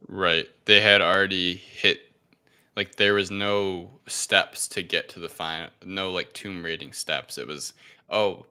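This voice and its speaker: A man's voice: deep voice, fairly even intonation, a little slow, pushed and bright, smooth. A man talking casually as a panelist in a film review discussion. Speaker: podcast panelist.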